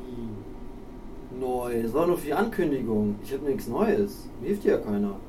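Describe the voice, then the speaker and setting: A man's voice: mid-range voice, lively intonation, unhurried, recorded from a distance, close to an everyday voice. Guy doing a grumpy sarcastic small-town actor, swoopy voice, noisy roomy sketch recording. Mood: sulky. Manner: grumbling, sarcastic. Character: grumbling vain small-town actor, sulky and reluctant. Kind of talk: audio sketch dialogue. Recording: echoey, noisy room, off from microphone.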